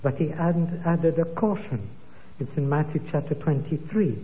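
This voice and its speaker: Tinny man preaching in an echoing hall. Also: on the high side. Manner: slow, emphatic Bible teaching with quoted scripture. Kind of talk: sermon. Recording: tinny phone-like sound in a long-reverb hall.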